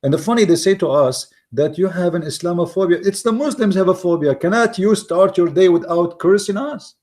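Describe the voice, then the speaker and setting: A man with an Arabic accent whose first language is Arabic, giving argumentative commentary in mocking, emphatic English with repeated words and halting restarts. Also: high-pitched, a little mellow. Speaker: online commentator. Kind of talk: argumentative commentary reading from an article.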